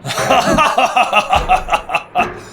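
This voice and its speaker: Pompous, booming villain, swooping delivery. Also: male voice, big pitch swings, very slow, noisy background, heavily acted. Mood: grandiose. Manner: pompous, declamatory. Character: grandiose, theatrical male villain clone with a lofty cause. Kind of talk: scripted game parody dub.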